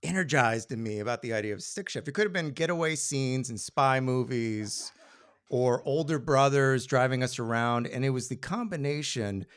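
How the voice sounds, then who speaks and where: A man telling a personal story live on stage in a conversational, halting, self-deprecating way. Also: mid-range voice, a bit bright, clear, resonant tone.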